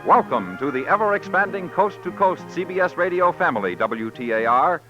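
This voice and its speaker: Animated American vintage radio announcer, male. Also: on the high side, moderate pace. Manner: formal, promotional delivery. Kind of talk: 1950s radio announcing, scripted. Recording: muffled old radio broadcast. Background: music.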